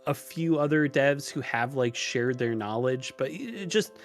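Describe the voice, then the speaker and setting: A man's voice: mid-range voice, expressive, a little slow. A man talking casually as a podcast guest, explaining things in a loose, rambling way with hesitations. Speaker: podcast guest who runs a tutorial channel. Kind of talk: casual interview conversation. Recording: music mixed under the voice. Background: music.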